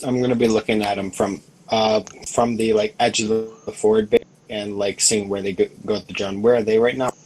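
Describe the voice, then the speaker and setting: A husky-voiced man chatting casually as a tabletop game player, tentative and thinking aloud. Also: fairly low pitch, level tone, a little fast, few pauses, a little mellow, rough recording.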